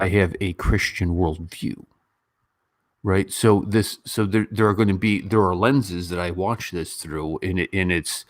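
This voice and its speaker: Male livestream host, low-pitched and informal, rambling conversational delivery, very dry close sound. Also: no echo. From Western country. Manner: informal, animated when mimicking others, rambling. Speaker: YouTube host. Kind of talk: casual livestream chat and commentary.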